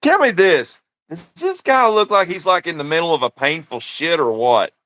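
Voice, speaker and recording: A man's voice: a little high, very animated, moderate pace, pushed and bright, no echo, studio quality. A man co-hosting a livestream, chatting bluntly with crude jokes and frequent laughter. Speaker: livestream co-host. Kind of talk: casual joking commentary.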